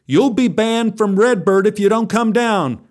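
A man's voice: high voice, unhurried, voice pushed up. A man voicing a stern, exasperated pool lifeguard barking orders in a told story. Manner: loud, barking, commanding. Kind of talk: acted dialogue in a told story.

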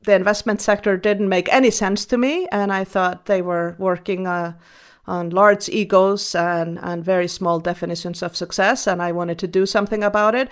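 A woman talks through her answers in a steady, mid-pitched voice. She is earnest and measured, pausing on ums and starting over now and then. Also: clean tone. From Iceland. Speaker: guest. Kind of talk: reflective interview answers.

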